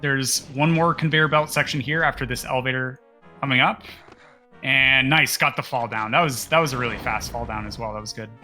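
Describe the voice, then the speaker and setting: A man's voice: mid-range voice, fairly quick, pushed and bright, soft background music. A man co-commentating a live speedrun, explaining the game in a casual way.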